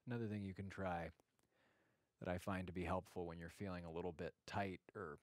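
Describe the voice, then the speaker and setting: A man leading an informal guided meditation in a gentle, reflective way, speaking haltingly with long pauses. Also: deep voice, fairly even intonation, no echo.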